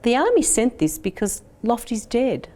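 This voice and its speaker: A woman's voice: a little high, very animated, normal speed, warm tone, voice pushed up. A woman voicing an eager, hopeful young boy with an Australian accent in a children's storybook. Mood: downcast.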